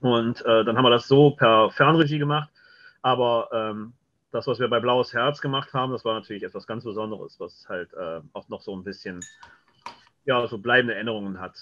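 A man chatting casually as an interview guest, often halting as he searches for words. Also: medium pitch, steady tone. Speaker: radio play director and interview guest. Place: home, video call. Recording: slightly dull video call audio.

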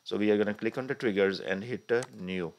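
A man narrating a screen-recorded software tutorial step by step, with many "uh" fillers as he clicks through. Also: lowish voice, brisk. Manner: frequent "uh" fillers, step-by-step explaining. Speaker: tutorial instructor. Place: voice-over recorded over the screen capture.

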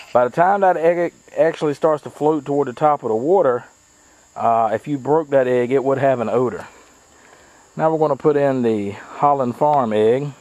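A man's voice: medium pitch, a little mellow. A middle-aged man giving a casual, folksy how-to demonstration outdoors in his backyard, talking to a camera mic. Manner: folksy, chatty, with frequent uh fillers. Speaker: small farm owner demonstrating.

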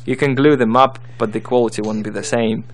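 Male tutorial narrator, mid-pitched, reading scripted instructional material. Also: steady tone, fairly quick.

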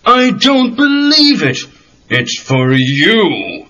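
High-pitched pompous rich dad. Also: male voice, wildly swooping pitch, extremely slow, bright, forceful tone, full character voice. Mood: businesslike. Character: middle-aged wealthy businessman father, gruff, imperious. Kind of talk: dramatized comic-book audio story acting. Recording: muffled cassette with music behind.

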